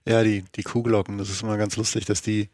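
Podcast co-host, man, quick talker, gravelly tone, dry close-mic sound. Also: fairly low pitch, little pitch movement, a little mellow, dry recording. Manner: quick, gravelly banter with short interjections. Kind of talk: casual travel chat.

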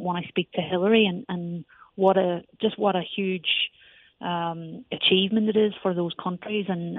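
A woman's voice: on the low side, dry recording. Irish woman coach on a tinny phone line. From Ireland. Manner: chatty, many restarts. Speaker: soccer coach. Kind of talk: phone interview.

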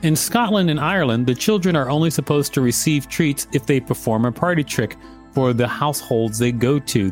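A man co-hosting a podcast, reading an article aloud with casual banter. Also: mid-range voice. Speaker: podcast co-host.

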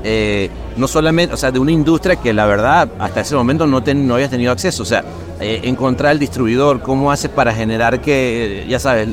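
A man hosting a casual interview, relaxed and slangy, often saying "güey". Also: mid-range voice, fairly quick, music in the background. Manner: relaxed, slangy, frequent "güey" and "qué chingón". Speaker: podcast host.

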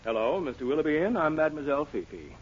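A man's voice: on the high side, big pitch swings, fast. Brusque businessman, heavy noise. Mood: impatient. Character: brusque, impatient out-of-town businessman. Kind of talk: 1940s radio comedy acting. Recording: muffled, very noisy 1940s radio recording.

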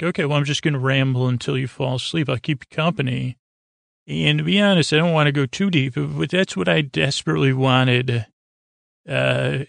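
A man speaks in a creaky, fry-heavy voice at a middling pitch, recorded very dry. He hosts a bedtime show in soothing, drawn-out tones. His delivery halts, circles back and drifts into deliberately dull, goofy tangents. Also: a bit bright, no room sound. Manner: hesitant, halting, circling back and trailing off. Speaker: podcast host. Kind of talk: rambling, meandering bedtime monologue to help listeners sleep.

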